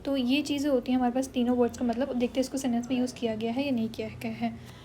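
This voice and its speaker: A woman's voice: a little high, level tone, rapid-fire, mellow, slight background noise. A woman with an Indian English accent teaching English vocabulary in mixed Hindi and English, checking in often with her students. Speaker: English exam tutor. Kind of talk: Hinglish vocabulary lesson.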